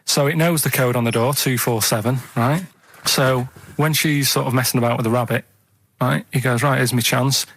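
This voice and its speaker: A man with an English accent and a middling pitch talks casually as a radio co-host. His delivery is colloquial and meandering, circling back over his own phrases in a studio setting. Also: fairly even intonation, normal speed, fairly bright tone, husky, low-quality recording. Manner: rambling, repetitive, colloquial delivery. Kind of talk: casual rambling storytelling and banter. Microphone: studio microphone.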